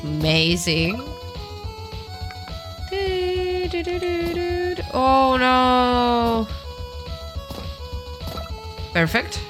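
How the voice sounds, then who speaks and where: Slow-talking woman with a cold. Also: high-pitched, rough recording. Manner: chatty, thinks aloud while playing, hums along. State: has a cold. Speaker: gaming video host. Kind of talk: casual let's-play commentary. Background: game music.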